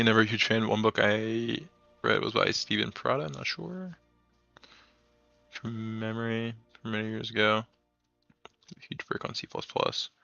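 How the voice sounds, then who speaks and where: A man's voice: on the low side, creaky, bright, forceful tone, no echo. A young American man chatting casually on a game coding stream, rambling and laughing as he answers chat, through a dull lo-fi mic.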